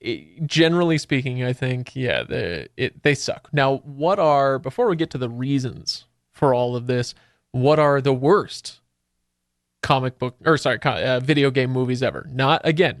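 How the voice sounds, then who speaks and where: A man's voice: mid-range voice, normal speed, no room sound, studio quality. A man hosting a casual podcast chat in an easygoing, wry manner, correcting himself as he goes. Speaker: podcast host.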